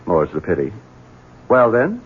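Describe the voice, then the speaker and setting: A man's voice: lowish voice, wildly swooping pitch, unhurried, slightly rough, full character voice. An actor playing a spoiled, callous Southern heir and ladies' man in a 1970s radio drama, with a Southern US accent. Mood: impatient, curt. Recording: tinny old radio broadcast, music behind.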